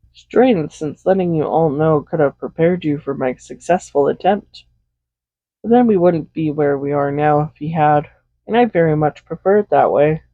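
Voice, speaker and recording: A man playing a smug, possessive villain who dotes on a captive hero in an intimate audio roleplay, soft-spoken, unhurried and condescending. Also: fairly high pitch, no echo, muffled recording, lightly acted. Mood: smugly amused. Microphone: close USB condenser microphone.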